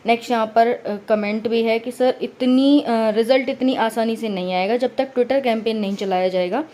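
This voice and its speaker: A woman's voice: fairly high pitch, steady tone, normal speed, dark tone. A woman informally explaining notices, reading bits out and commenting with frequent conversational checking tags. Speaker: exam-news presenter for job aspirants.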